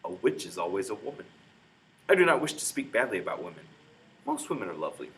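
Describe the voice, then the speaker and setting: Low-voiced man reading a storybook aloud. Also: very animated, fast. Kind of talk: children's book read-aloud. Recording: clear background noise, room ambience.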